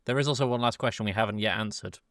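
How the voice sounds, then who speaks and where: A man's voice: on the low side, rapid-fire, a bit bright, no echo, studio quality. A British man hosting a podcast, chatting casually about films in a joking way. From Britain. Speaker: podcast host. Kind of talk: casual film discussion.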